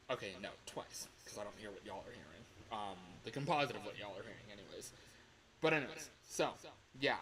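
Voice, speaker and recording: A man's voice: on the low side, low-quality recording. A man hosting a casual livestream tutorial, talking in a chatty, rambling, informal way into a microphone. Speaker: livestream host. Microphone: microphone on a home streaming setup.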